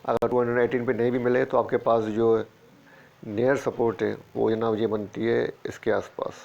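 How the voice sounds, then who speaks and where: A man's voice: medium pitch, flat delivery, normal speed, vocal fry, darkish tone, lo-fi sound. A man with a sore throat, steadily walking through stock charts and repeating price levels as a market analyst. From Pakistan. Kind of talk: screen-share chart walkthrough.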